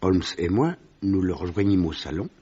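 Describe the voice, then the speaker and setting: An actor playing a sober doctor who narrates a detective case in a measured, literary French radio drama style. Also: male voice, low voice, lively intonation, dark tone, husky. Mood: sober, narrative. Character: sober male doctor recounting his detective friend's case. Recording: dull, muffled vintage radio recording.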